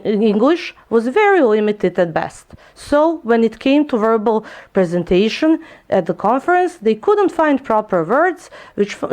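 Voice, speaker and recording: A young woman whose first language is Serbian, presenting a research paper in a clear, explanatory way with a few small slips. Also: a little high, darkish tone. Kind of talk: prepared lecture presentation.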